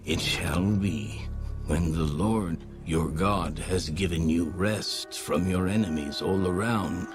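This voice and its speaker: A deep-voiced man narrates scripture over clear background music. His reading is solemn and very measured, with a slow, scripted delivery.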